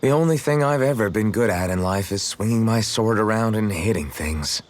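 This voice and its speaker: A man's voice: lowish voice, moderate pace, lightly acted. A man playing a modest, good-natured young swordsman with a casual, unpretentious way of talking, in video game dialogue. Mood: unsure, self-deprecating. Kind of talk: English-language video game character dialogue.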